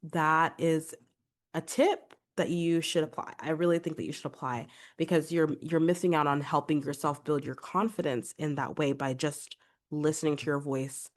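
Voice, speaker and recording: A woman's voice: sits low, fairly even intonation, normal speed. A young adult woman, a vocal coach, giving chatty, encouraging podcast advice with a congested voice. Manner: chatty, encouraging, fillers like "like" and "right?".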